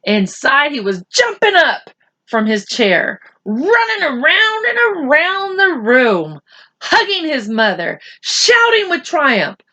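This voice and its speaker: A woman reading a story aloud to children expressively and deliberately, with teacherly asides. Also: sits high, sing-song, sharp tone.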